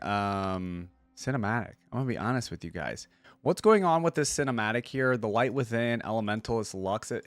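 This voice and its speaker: A man's voice: on the low side, dry recording. A man hosting a reaction video, giving informal, conversational commentary with rambling asides.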